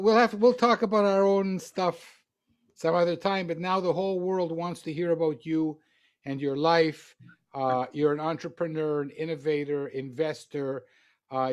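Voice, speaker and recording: A male talk show host leads the conversation in a joking, playful way, teasing his guest to open up. His voice sits a little high for a man. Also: clean tone. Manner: playful, jokey, pushes the guest. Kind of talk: interview hosting.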